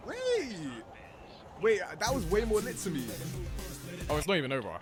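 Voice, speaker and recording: A man giving casual, expressive reaction commentary in informal slang as a video host. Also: high-pitched, moderate pace, music in the background.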